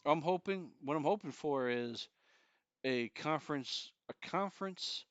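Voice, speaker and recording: A man's voice: on the high side, expressive, measured pace, fairly bright tone, clean tone, dry recording, muffled recording. A man in his forties co-hosting a podcast, chatting casually with a joking, profane manner. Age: 40s. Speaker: podcast co-host. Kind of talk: casual chat.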